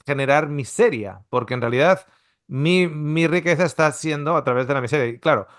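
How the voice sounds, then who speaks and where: A man's voice: fairly high pitch, a bit bright, dry recording, clean studio sound. A middle-aged man from Spain teaching a Bible seminar in a conversational, explanatory way, full of restarts and rhetorical questions. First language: Spanish. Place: Australia.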